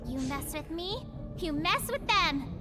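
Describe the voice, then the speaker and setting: A cocky young girl summoner from a fantasy video game. Her voice is extremely high, and she throws out playful, sassy battle taunts. Music plays behind her. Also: animated, slow pace, heavily acted. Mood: taunting.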